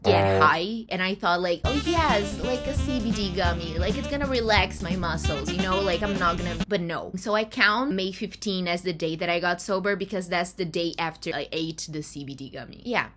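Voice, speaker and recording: A woman's voice: fairly low pitch, normal speed, few pauses, loud music behind the voice. A woman in her twenties from Brazil with a Brazilian accent, talking casually in a confessional vlog, chatty and rambling with lots of asides. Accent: Brazilian Portuguese. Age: 20s. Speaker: vlogger.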